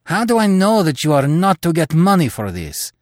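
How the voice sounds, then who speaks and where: A man's voice: mid-range voice, sing-song, unhurried, no room sound, studio quality. A man voicing an angry, suspicious young Spanish recruit who demands answers passionately. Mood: agitated. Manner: passionate, shouting and pleading.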